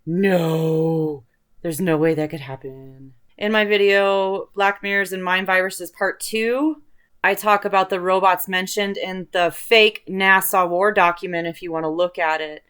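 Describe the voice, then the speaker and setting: Low-pitched woman, casual rambling chat. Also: steady tone, a little slow, a bit bright, clear, resonant tone. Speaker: host of an online video channel.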